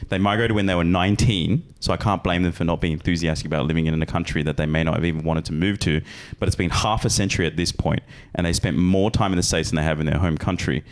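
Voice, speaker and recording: A man co-hosting a podcast, reading a forum post aloud in a brisk, straight-through way. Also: low voice, a little hiss or hum. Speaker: podcast co-host.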